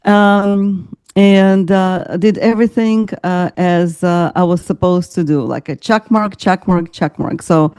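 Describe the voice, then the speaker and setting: A woman's voice: on the low side, fairly even intonation, unhurried, few pauses, darkish tone, smooth, no echo, studio quality. An older woman from Ukraine with an Eastern European accent, chatting as an interview guest over a video call, laughing often and using many fillers. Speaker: guest coach. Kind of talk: casual interview chat.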